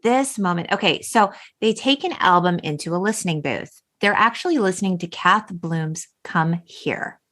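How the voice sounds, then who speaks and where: A woman's voice: on the low side, animated, moderate pace, few pauses, a bit bright. A woman hosting a podcast, retelling a film in an enthusiastic, emphatic, casual way and voicing quotes as she goes. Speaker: podcast host.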